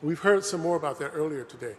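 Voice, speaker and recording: Guy giving a talk in a big echoey museum hall, kinda higher voice, earnest, lots of "you know". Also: expressive, fast. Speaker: lecturer presenting a talk. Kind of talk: conversational public talk. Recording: long hall reverb.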